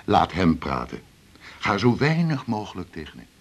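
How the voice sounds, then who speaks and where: A man's voice: sits low, huge pitch swings, normal speed, exaggerated voice. An actor playing a chatty, confiding male hospital doctor in a radio drama. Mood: confiding, cautious. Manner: informal, gossipy, confiding. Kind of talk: 1980s Dutch radio drama acting.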